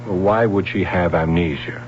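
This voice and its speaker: An actor playing a persistent, skeptical police sergeant in an old-time radio drama. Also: male voice, low voice, fairly even intonation, moderate pace, slight background noise, close to an everyday voice. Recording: dull, humming old radio recording.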